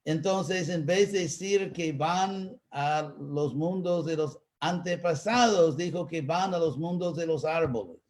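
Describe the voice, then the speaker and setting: American guy giving a spiritual talk, slow and careful, kinda high voice, stops to find words. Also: darkish tone. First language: English. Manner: slow, searching for Spanish words with pauses. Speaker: spiritual teacher. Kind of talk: live online talk answering questions.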